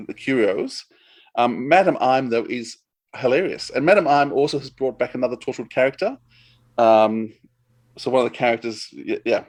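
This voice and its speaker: A man in casual chat as a podcast co-host, giving recommendations in a rambling, enthusiastic way. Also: mid-range voice.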